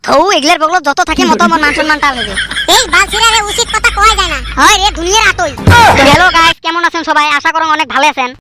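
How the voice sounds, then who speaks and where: Very high, bright, fast-talking woman. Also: lively intonation, very noisy. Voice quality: cheeky, bantering and fast. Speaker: cartoon channel creator and voice of several characters. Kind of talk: cartoon comedy voice acting.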